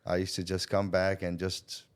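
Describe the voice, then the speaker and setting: Deep-voiced middle-aged man, reflective. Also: monotone, normal speed, clear, resonant tone. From Thailand. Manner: reflective, checks in with "you know" and "right?". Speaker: podcast guest, sales consultant. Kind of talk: casual interview storytelling.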